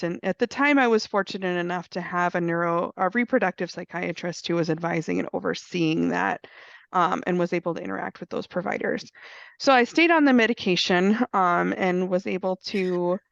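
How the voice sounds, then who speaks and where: A woman with a cold tells her story in an earnest, halting way, with a gravelly edge to her voice. Her words come through a dull, dry video call line. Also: fairly low pitch, no echo. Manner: earnest, many fillers. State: has a cold, clears throat. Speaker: guest speaker sharing lived experience. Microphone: computer mic on a video call.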